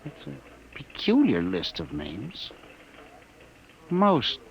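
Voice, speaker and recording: A man's voice: medium pitch, wildly swooping pitch, slow pace, vocal fry, heavily acted. An actor playing a meek, fussy male records clerk who stammers timidly, in a 1950s radio drama. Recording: scratchy, hissy old radio recording.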